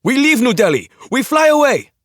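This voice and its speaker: A man's voice: extremely high pitch, big pitch swings, normal speed, no room sound, clean studio sound, voice pushed up. A man playing an exhausted, panicky hijacker in his twenties, screaming threats to sound authoritative in an audiobook thriller. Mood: screaming, frantic. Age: 20s.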